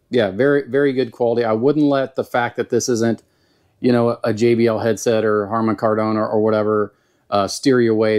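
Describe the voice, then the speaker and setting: A man with a Southern US accent giving a casual, plain-spoken product review in an unhurried, folksy way. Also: on the low side, a little mellow. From Southern United States. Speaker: YouTube gear reviewer.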